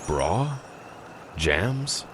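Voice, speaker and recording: An actor playing a courtly, reserved vampire in a video game, speaking formally and in measured phrases. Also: male voice, on the low side, very animated, noisy background, exaggerated voice. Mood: polite, reserved. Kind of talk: scripted game dialogue.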